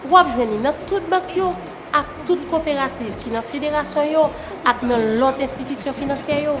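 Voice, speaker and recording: A woman voicing a confident friend in a radio ad, lively and persuasive as she explains bank bonds. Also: high-pitched, brisk, noisy background, close to an everyday voice. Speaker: radio ad character. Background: music.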